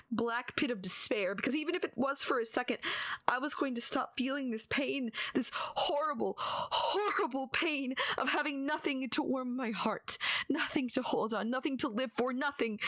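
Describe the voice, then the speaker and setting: A woman playing a despairing, lovesick yandere kidnapper in an intimate audio roleplay, halting and pleading, often slipping into half-whispers. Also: high voice, a bit bright, no echo, studio quality. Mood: anguished. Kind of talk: intimate ASMR-style dramatic audio roleplay.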